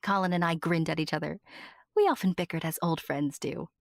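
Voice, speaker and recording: A woman's voice: deep voice, fairly quick, no echo, studio quality. A woman narrating a fantasy audio drama as a small, fond and earnest companion telling the tale first-hand. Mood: fond, wry.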